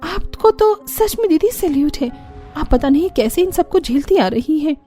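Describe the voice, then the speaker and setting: Woman doing a young cartoon bahu, super high voice, whiny n coaxing, dramatic. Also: lively intonation, normal speed, mellow, faint music behind. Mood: whining, suffering. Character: aggrieved young daughter-in-law, whining and eager.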